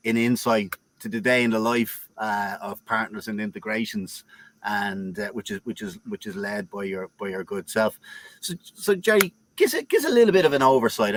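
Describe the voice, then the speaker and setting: A man's voice: lowish voice, fairly bright tone. A man hosting a live interview over a video call, upbeat and full of fillers and repeated words. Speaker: host.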